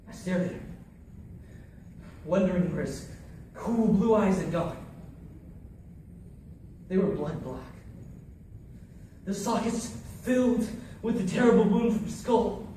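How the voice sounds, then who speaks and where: A man's voice: sits high, measured pace, long pauses, mellow. A man performing a dramatized first-person war monologue as an anguished soldier, with intense, theatrical delivery. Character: anguished male soldier recounting losing his comrades in battle. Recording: echoey room, distant mic, faint noise.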